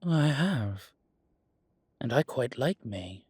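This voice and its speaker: Audio drama role: wry centuries-old male vampire acted by a man, husky and unhurried, self-assured, close dry recording. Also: medium pitch, expressive, darkish tone, no echo, full character voice. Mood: amused.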